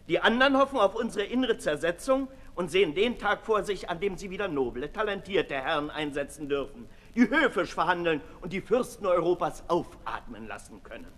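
A cynical aristocratic philosopher in an old radio drama. He declaims mockingly, his high voice very animated. Long reverb trails his words. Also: exaggerated voice. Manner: sardonic, declamatory, swinging from hushed to loud. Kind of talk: 1960s German radio drama oratory.

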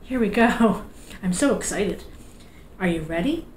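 Woman, Newfoundland accent, middle-aged, children's librarian, cheerful and encouraging, unhurried, roomy echo. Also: mid-range voice, lively intonation, slight background noise. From Canada. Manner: cheerful, sing-song, encouraging. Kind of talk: children's storytime. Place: small indoor room, seated in an armchair. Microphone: fixed camera at a few feet.

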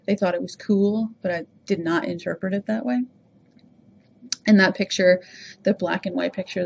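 A woman's voice: mid-range voice, vocal fry, a little mellow, muffled recording, a little hiss or hum. A woman from Rhode Island with no regional accent, hosting a podcast and talking casually about herself with a chatty, self-reflective manner. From Rhode Island, USA. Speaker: podcast host. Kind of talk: casual personal monologue.

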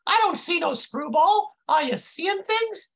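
A man voicing a big, clueless young intern in a high voice, using old-time comic slang in a live story reading. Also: lively intonation, unhurried, fairly bright tone, full character voice. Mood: confused, earnest. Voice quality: high-pitched. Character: big fat dim-witted male intern kid, innocently clueless.

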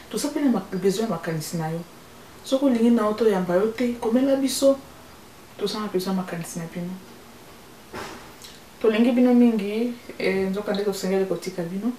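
Middle-aged woman host, dark soft tone, recorded far from the mic. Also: medium pitch, reverberant, slight background noise. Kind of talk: closing address to viewers. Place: indoor studio set with flowers.

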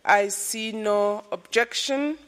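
Slow-paced woman chairing a committee. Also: medium pitch, background noise. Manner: slow, procedural, calling for objections. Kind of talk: chairing a formal meeting. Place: large conference hall. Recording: long reverb of a large hall.

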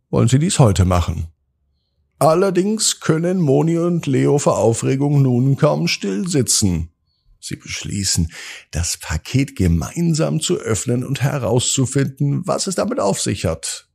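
A man hosting a children's podcast and narrating bedtime stories, speaking directly to young listeners with dramatic pauses and drawn-out words. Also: lowish voice, gravelly, mellow, husky. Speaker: children's podcast host and storyteller.

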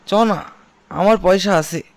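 A man's voice: high-pitched, a little slow, background noise. A man playing a brash, scornful, thieving village boy in an audio story. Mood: coaxing. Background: music.

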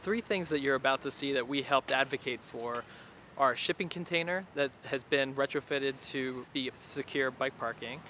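A man's voice: medium pitch, normal speed, bright, forceful tone, a little hiss or hum. A man who advocates for cycling, steadily listing points in an interview. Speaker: bike advocate.

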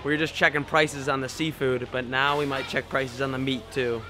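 A man's voice: mid-range voice, little pitch movement, brisk. A young man vlogging to a handheld camera at an outdoor night market, chatty and upbeat. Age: young adult. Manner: upbeat, chatty, talks to camera and haggles with vendors. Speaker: travel vlogger. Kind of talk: casual travel vlog narration. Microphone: camera held at arm's length. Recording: loud crowd and street noise behind.

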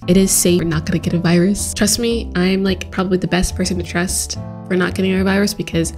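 A woman's voice: on the low side, fairly even intonation, fast, a little mellow, soft background music. A woman narrating a casual editing tutorial in an informal, chatty way with self-deprecating asides. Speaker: video editing tutorial host. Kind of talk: casual screen-recorded tutorial.

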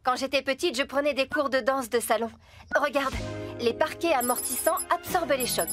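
A woman's voice: sits high, moderate pace, over music, natural voice. A woman playing a sensible, kindly young builder, reassuring and instructive but firm, in a French-dubbed children's cartoon. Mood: explaining.

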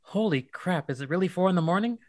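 A man's voice: sits high, animated, quick pace, a little mellow, no room sound. Young husband male actor, tired but caring, practical, natural modern radio-drama delivery, dry sound. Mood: groggy, surprised.

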